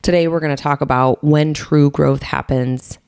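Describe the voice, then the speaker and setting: Deep-voiced woman with a Chicago accent. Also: fairly even intonation, moderate pace, a little mellow, clean tone, no echo, clean studio sound. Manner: rambling, easygoing, laughs often. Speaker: podcast co-host, health coach. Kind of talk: casual podcast chat.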